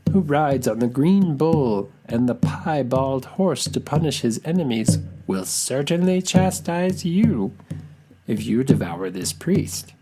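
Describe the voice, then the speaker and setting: A stern rock spirit from a folktale, voiced by a storyteller, lecturing with indignation. The speech is formal and emphatic, swooping widely in pitch. Faint music sits underneath. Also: warm tone, husky, exaggerated voice.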